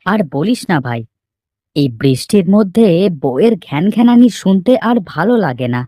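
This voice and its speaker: A grumbling, henpecked village husband in a cartoon, acted by a woman with a low voice. The delivery is complaining and very animated, recorded close and dry. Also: normal speed, no room sound. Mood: exasperated. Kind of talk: cartoon story dialogue.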